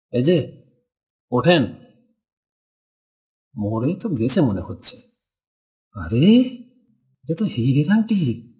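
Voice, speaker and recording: An actor playing a greedy, callous, lecherous villager and killer in a horror cartoon, exclaiming and muttering theatrically. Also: male voice, sits high, darkish tone, exaggerated voice. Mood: scheming, greedy.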